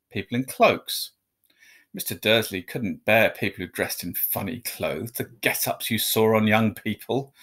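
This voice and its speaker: A man's voice: mid-range voice, fairly bright tone. Man reading a children's storybook aloud.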